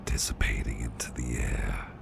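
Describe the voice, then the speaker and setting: A man playing a gentle, affectionate businessman boss in a soothing ASMR roleplay, whispering softly and reassuringly close to the mic. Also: steady tone, heavy background noise, full character voice. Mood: soothing, tender. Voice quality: breathy whisper, very deep and gravelly. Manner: soft, slow, reassuring murmuring.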